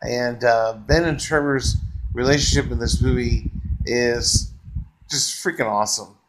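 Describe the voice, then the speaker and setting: A man's voice: mid-range voice, measured pace, noisy background. A middle-aged man giving a casual, unscripted movie review from his bedroom, lying back and talking into a close webcam, rambling with lots of fillers and gushing praise. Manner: relaxed, rambling, frequent uh fillers, enthusiastic praise. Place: bedroom, lying on a couch or bed, indoors. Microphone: webcam close to the face.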